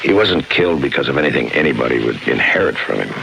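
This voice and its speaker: An actor playing a terse, unsentimental American police lieutenant in a clipped, deadpan 1950s radio crime drama style. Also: male voice, a bass voice, fast talker, gravelly, full character voice. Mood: guarded, curt. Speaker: lead actor and narrator. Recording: noisy 1950s radio broadcast recording.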